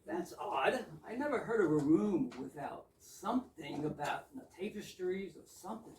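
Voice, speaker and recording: A woman's voice: on the low side, expressive, moderate pace, a little mellow, a little hiss or hum, lightly acted. A woman playing an earnest, gullible young suitor with a romantic, rhapsodic manner in an amateur readers theater comedy. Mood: curious. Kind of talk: amateur readers theater of a 1920s comic play. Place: indoor readers theater stage, distant microphone. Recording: echoey stage recording from far off.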